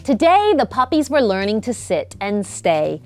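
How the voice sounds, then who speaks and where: A woman reading a children's bedtime story as an expressive narrator. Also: mid-range voice, unhurried. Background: music.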